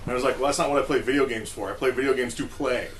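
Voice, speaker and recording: A man's voice: medium pitch, racing, slight background noise. A man speaking informally and giving his opinions as a panelist in a casual discussion. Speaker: podcast panelist.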